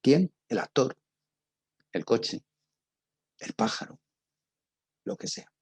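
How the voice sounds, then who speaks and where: A man's voice: medium pitch, sing-song, slow, vocal fry, a little mellow, husky, no room sound. A man giving an informal explanatory lesson as a teacher, in a didactic manner, rephrasing as he goes with lists and examples. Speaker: drama teacher and academic.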